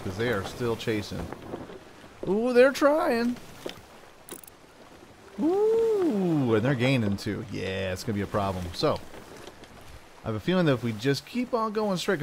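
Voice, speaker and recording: A man's voice: medium pitch, noisy background. A man hosting a gaming video, thinking aloud in casual, playful commentary with frequent exclamations. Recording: game music under the voice. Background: game music.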